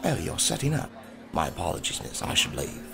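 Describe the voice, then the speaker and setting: A man playing a bashful, apologetic traveling merchant in an audio drama, halting and awkward. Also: sits low, very animated, exaggerated voice. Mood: bashful, smitten. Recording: clear music behind.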